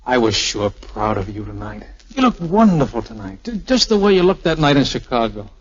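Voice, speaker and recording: Lively young flyer, old radio. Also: male voice, medium pitch, fairly quick, breathy edge. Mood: tender. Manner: breezy, playful banter. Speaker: actor in a radio play. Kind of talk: 1940s radio acting. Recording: dull old radio broadcast, music behind.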